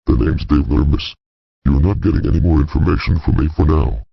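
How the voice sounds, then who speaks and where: Man acting very deep, gravelly, sinister kidnapper. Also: fairly quick, dry recording, heavily acted. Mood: smugly taunting. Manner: casual, mockingly polite, withholding. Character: brazen, taunting male child kidnapper calling emergency line. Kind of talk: amateur audio drama acting. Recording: dull, muffled lo-fi sound.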